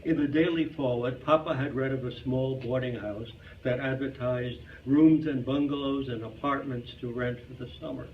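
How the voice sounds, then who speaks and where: A man with a medium-pitched voice reads a humorous memoir on stage in a club hall. He sounds a bit far from the microphone, and there is faint noise in the recording. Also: fairly even intonation, normal speed, hardly any pauses, phone-quality sound. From Brooklyn, New York. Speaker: reader at a community readers theater. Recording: distant mic, noisy hall sound.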